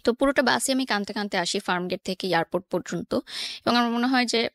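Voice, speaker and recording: A woman's voice: a little high, quick pace, fairly bright tone, no room sound, clean studio sound. A woman with a Bangladeshi accent telling a personal story as an interview guest, mixing in English words. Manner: code-mixes English words into speech.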